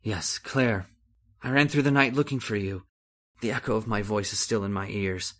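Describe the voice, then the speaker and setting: An actor playing a cold, condescending middle-aged scientist husband in an old-time radio horror drama. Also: male voice, fairly low pitch, fairly even intonation, moderate pace, a bit bright, close to an everyday voice. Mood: haunted narration. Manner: condescending, stern, impatient.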